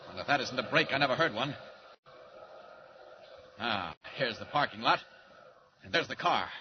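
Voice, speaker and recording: An actor playing a confident male superhero in a 1940s radio drama, briskly thinking aloud as he acts. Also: mid-range voice, lively intonation, lightly acted. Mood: intent, assured. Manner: brisk, self-narrating, assured. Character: confident heroic superhero man, muttering his plans aloud. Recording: dull, noisy 1940s radio recording.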